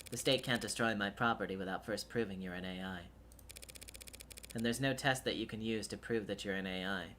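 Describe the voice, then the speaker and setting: A man's voice: on the low side, fairly quick, fairly bright tone, a little hiss or hum, pitched below the performer's own voice, close to an everyday voice. A man voicing a cynical, hard-edged defense lawyer in a video game, with a clipped, lecturing manner. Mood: brisk, impatient. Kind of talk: video game character voice acting.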